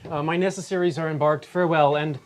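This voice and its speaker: A woman's voice: low-pitched, fairly even intonation, quick pace, close to an everyday voice. A Canadian woman in a casual table read, playing an earnest young brother who warns his sister, reading with many fillers and restarts.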